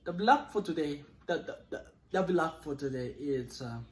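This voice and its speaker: A man with a Samoan accent chatting casually with his partner on a vlog, in halting, simple phrasing. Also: fairly high pitch, expressive. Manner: halting, simple non-native English phrasing. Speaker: vlog co-host.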